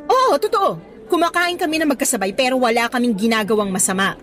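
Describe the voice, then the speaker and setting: A young, principled schoolteacher with a Filipino accent in a radio drama. Her voice is very high, earnest and indignant as she scolds. A music bed sits behind her. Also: animated, quick pace, a bit bright. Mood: indignant, firm. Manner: earnest, scolding, mixes English into Tagalog. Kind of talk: Tagalog radio drama acting.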